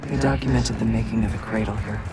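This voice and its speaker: An actor playing the obsessive, sinister inner voice of an experimented-on soldier in a video game, urging and commanding. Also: male voice, on the low side, fairly even intonation, fast talker, heavy background noise, close to an everyday voice. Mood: bitter, conspiratorial.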